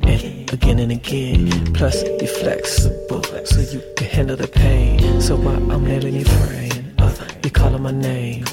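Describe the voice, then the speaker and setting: Male vocalist, monotone and gravelly, seductive rhythmic spoken lyrics over loud instrumental track. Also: mid-range voice, measured pace, few pauses. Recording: loud music under the voice. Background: instrumental music track.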